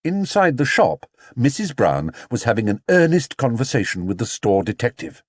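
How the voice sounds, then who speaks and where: A man narrating a children's storybook in an expressive, theatrical way. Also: mid-range voice, normal speed, no echo, clean studio sound. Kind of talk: animated children's audiobook narration.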